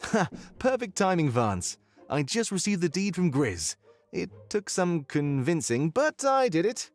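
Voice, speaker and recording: A man voicing a warm, cocky and informal rebel general in a visual novel. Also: high-pitched, very animated, a little slow, soft background music. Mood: upbeat, proud.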